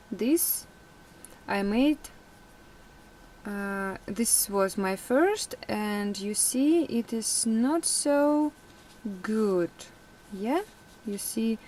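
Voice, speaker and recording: A young woman whose first language is Russian, chatting casually in a vlog, laughing often and pausing with fillers. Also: a little high, lively intonation, slight background noise. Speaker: vlogger.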